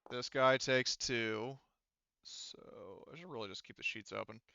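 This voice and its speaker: Male game master, crisp forceful voice, casual and sweary, tabletop session, dry slightly muffled audio. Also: mid-range voice, unhurried, no echo. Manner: casual, profane, talking through dice rules. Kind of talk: running a tabletop roleplaying game.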